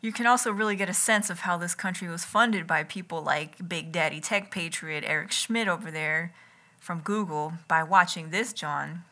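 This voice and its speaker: Lady doing an asmr read-along of her own essay, lowish bright voice, wordy and wry, up close on the mic in her kitchen. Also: moderate pace. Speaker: writer reading own missive aloud. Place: home kitchen. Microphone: close enough to catch breath and lips.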